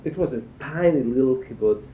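An older man from Israel giving reflective interview answers, haltingly, repeating phrases as he searches for words. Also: animated. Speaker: interviewee, cello teacher and performer. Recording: echoey, tinny band-limited sound with faint rumble.

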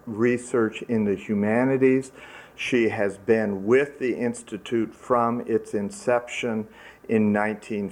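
A man conducting an oral history interview, introducing it formally and asking measured questions. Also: on the low side.

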